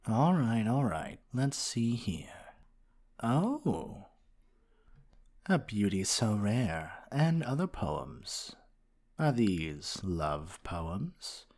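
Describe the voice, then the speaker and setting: A man playing a flirty, slightly possessive dragon-blooded librarian in an ASMR roleplay, speaking softly and teasingly to the listener. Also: on the low side, heavily acted. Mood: playfully suspicious. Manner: coy, teasing, playfully insistent. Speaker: roleplay performer.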